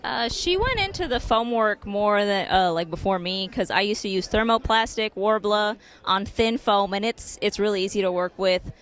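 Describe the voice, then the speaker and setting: A woman's voice: mid-range voice, normal speed, hardly any pauses, fairly bright tone, clean tone. A woman cosplayer answering interview questions at a fan convention, easygoing and a little hesitant, with lots of fillers. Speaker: cosplayer guest.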